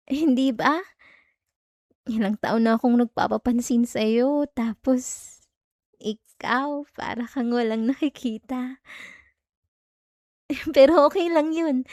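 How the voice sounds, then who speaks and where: A woman's voice: a little high, a little mellow, no echo, clean studio sound, natural voice. A woman with a Filipino accent playing an obsessive, possessive stalker in an intimate ASMR roleplay, coy, teasing and sweetly menacing. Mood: coaxing, possessive. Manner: coy, teasing, giggly, lingering over words.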